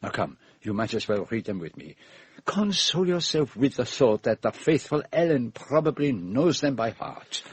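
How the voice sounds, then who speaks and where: An actor playing a shrewd, self-assured Belgian detective with a French-speaking accent and formal, precise, theatrical phrasing in a radio drama. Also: male voice, mid-range voice, big pitch swings, moderate pace, slightly rough. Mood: teasing, insistent.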